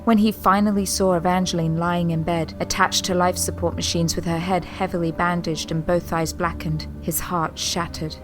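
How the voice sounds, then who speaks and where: A woman's voice: on the low side, little pitch movement, moderate pace, few pauses. A woman delivering scripted, dramatic story narration for an audio drama. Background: prominent music.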